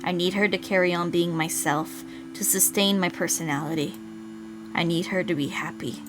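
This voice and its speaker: A woman's voice: on the low side, steady tone, normal speed, background noise, lightly acted. A woman reading as a brooding, dependent young man narrating a visual novel, introspective and earnest. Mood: resolved, introspective.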